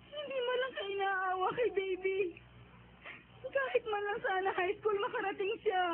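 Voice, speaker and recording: A woman's voice: very high-pitched, normal speed, slight background noise. A woman acting in a radio drama as a grieving, defiant young migrant worker daughter, pleading respectfully but with reproach. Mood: tearful. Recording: tinny narrow-band radio drama recording.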